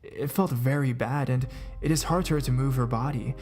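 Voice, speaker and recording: Dude calmly reading ghost stories, kinda gravelly voice, guitar playing underneath. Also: male voice, mid-range voice, moderate pace. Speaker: narrator of a ghost story channel. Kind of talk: scripted narration of reader-submitted stories.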